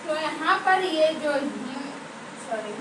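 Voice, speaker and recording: A woman's voice: high-pitched, expressive, slow pace. A woman with an Indian accent giving an informal grammar lesson as a teacher, explaining step by step and often checking understanding with questions. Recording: roomy echo, distant mic, clear background noise.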